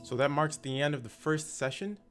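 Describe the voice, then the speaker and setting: A man's voice: fairly high pitch, fairly quick. A man giving casual, loosely improvised game commentary as host of a gameplay video. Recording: loud music behind the voice.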